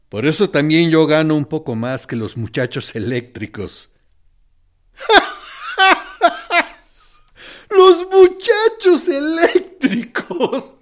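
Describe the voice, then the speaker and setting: An actor playing a smug military interrogator in a radio play, speaking in a theatrical, sardonic, mock-courteous way with quiet menace. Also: male voice, on the high side, heavily acted. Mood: gleeful. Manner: deliberate, sardonic, condescending, with theatrical pauses. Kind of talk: theatrical radio drama monologue.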